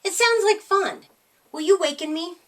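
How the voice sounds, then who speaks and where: A woman's voice: very high-pitched, normal speed, voice pushed up. A woman voicing a friendly, curious male frog in a storybook, in a kindly, simple manner.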